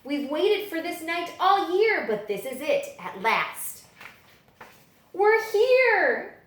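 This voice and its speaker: Animated woman reading a picture book aloud. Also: extremely high pitch, slow. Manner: dramatic, animated storytelling delivery. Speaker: librarian reading at a virtual story time. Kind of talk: expressive children's picture book read-aloud. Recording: echoey room.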